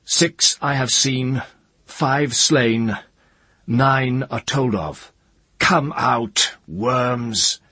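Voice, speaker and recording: Man playing a bold young wizard, raised husky voice. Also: on the low side, expressive, slow pace, few pauses, no echo, pitched above the performer's own voice, exaggerated voice. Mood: defiant challenge. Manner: loud, firm, defiant calling out. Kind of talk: audiobook fantasy character voice.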